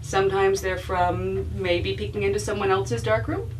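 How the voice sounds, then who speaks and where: A woman's voice: medium pitch, fairly even intonation, normal speed. A woman author speaking informally at a bookstore event, reflective and self-deprecating, often restarting her phrases as she explains her work. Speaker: author being interviewed about her book. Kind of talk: informal spoken book talk with audience. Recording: distant mic, faint room noise.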